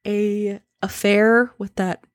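A woman's voice: medium pitch, little pitch movement, slow pace, dry recording, clean studio sound. A woman from Colorado co-hosting a casual podcast, laughing often, swearing casually and dropping sarcastic remarks. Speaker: podcast co-host.

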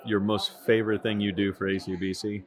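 A man hosting a podcast interview at a conference, relaxed and halting, with many restarts. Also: lowish voice. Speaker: podcast host. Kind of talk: casual interview. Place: conference venue. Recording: crowd chatter behind, at a conference.

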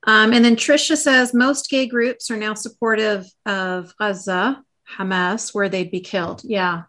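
A woman's voice: medium pitch, steady tone, measured pace, a bit bright. A woman with Arabic as her first language hosting a casual online talk, outspoken and swearing when indignant. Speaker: host.

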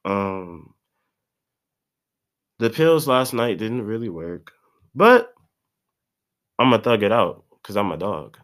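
A man's voice: on the low side, big pitch swings, slow, pauses often, a bit bright. A man of about twenty, congested, rambling in a slangy, profane solo podcast monologue. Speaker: podcast host.

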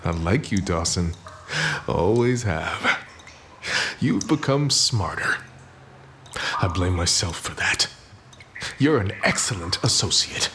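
A man's voice: low voice, lively intonation, slow pace, pauses often, sharp tone, heavily acted. A man acting a domineering, scheming crime boss, in a hard-boiled comic audio drama. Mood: commanding, menacing. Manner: theatrical, commanding. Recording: faint background noise.

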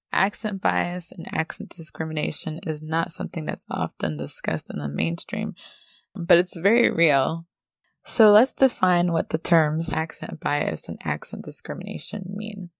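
A woman with a standard American accent hosting a podcast, explaining a topic in a measured, scripted way. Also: low-pitched, creaky, no room sound, studio quality. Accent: General American. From United States. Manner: measured, explanatory, reads from prepared notes. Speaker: podcast host.

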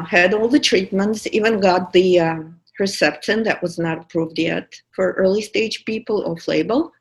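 A woman's voice: mid-range voice, poor audio. Russian-accented woman in her fifties. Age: 50s. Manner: candid, wry, many fillers. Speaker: podcast producer and guest. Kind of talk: casual personal story.

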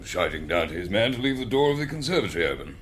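A stiff English gentleman in a radio play. His deep voice gives terse, literal replies over faint background noise. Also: big pitch swings, racing. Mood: impatient, curt. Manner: curt, literal. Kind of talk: British radio drama acting.

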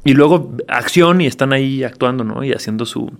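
A Mexican actor in his forties chatting in an interview, relaxed and frank, with slang and frequent tag questions. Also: mid-range voice, fairly quick, creaky. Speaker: actor and guest. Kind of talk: casual interview chat.

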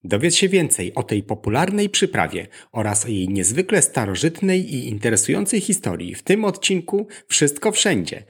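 A man narrates a scripted educational podcast as its host. His voice is mid-pitched and husky, with a fair amount of creak in it. He explains things directly to the listener. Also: big pitch swings, normal speed, few pauses.

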